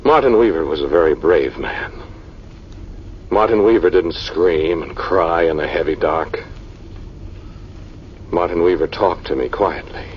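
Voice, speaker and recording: An actor playing a haunted, brooding scientist in a 1940s radio horror drama, speaking in a dramatic, halting way. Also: male voice, sits low, a little hiss or hum. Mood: grim. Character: haunted male scientist recounting a terrifying tomb ordeal. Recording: dull vintage radio recording with music behind.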